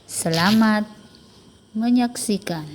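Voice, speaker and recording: A woman primary school teacher, explaining a lesson to children slowly and carefully. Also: mid-range voice. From South Sulawesi, Indonesia. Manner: slow, careful explaining to pupils. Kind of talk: recorded online lesson for children.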